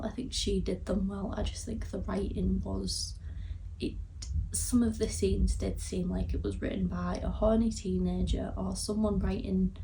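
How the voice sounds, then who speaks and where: A woman's voice: mid-range voice, gravelly. A young woman with a Yorkshire accent giving a casual, somewhat hesitant film review to camera, recorded at a distance from the mic. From Yorkshire, England. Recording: distant mic with faint background noise.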